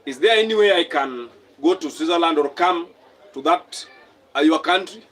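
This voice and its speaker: A man's voice: on the high side, animated, moderate pace, a bit bright. A young man from Kenya hosting a casual live stream, reading out viewer comments and repeating his phrases as he talks.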